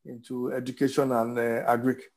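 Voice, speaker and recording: A man in his fifties with a Nigerian accent giving reflective interview answers, haltingly and with hesitation, repeating words for emphasis. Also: mid-range voice, level tone, darkish tone. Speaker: interview guest, businessman.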